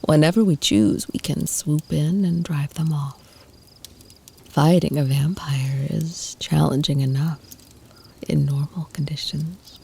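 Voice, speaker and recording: A woman playing an ancient, tender, protective vampire lover in an ASMR roleplay, speaking in a soft, breathy, soothing whisper close to the mic. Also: sits low, fairly even intonation, heavily acted. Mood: tender, confident. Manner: slow, soothing, intimate murmur with lingering pauses.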